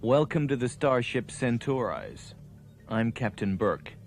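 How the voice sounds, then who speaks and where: Assured space commander: medium pitch, dry and firm, music behind. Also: male voice, very animated, unhurried. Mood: composed. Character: calm, dry, commanding male starship captain. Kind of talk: puppet sci-fi series acting.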